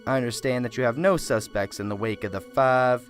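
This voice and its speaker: A man playing a soft-spoken, pious small-town reverend who rambles earnestly, in an amateur radio drama, with faint music behind. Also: mid-range voice, brisk, close to an everyday voice. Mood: solemn. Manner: gentle, pious and wordy.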